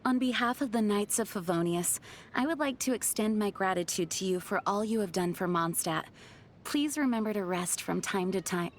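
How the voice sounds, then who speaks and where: A woman's voice: medium pitch, moderate pace, slight background noise, lightly acted. A woman playing a dutiful, earnest young knight in a video game, speaking formally and with composure. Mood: solemn, resolute. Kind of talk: video game character voice lines.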